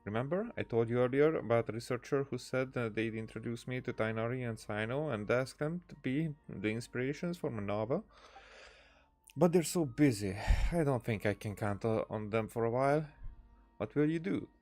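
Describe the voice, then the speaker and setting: A man's voice: mid-range voice, normal speed, darkish tone. A man doing casual let's-play commentary, reading game dialogue aloud in a hesitant way with many "uh"s and restarts.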